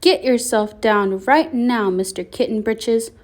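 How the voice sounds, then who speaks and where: A woman's voice: fairly high pitch, measured pace, natural voice. A woman voicing a stern mother cat who lectures firmly in a children's storybook. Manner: lecturing, firm.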